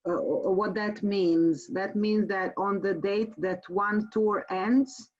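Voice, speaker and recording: A woman from Croatia with a Croatian accent gives a webinar sales presentation as a tour company presenter, speaking haltingly with frequent pauses. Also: medium pitch, steady tone. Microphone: online webinar call. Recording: muffled, band-limited webinar call audio.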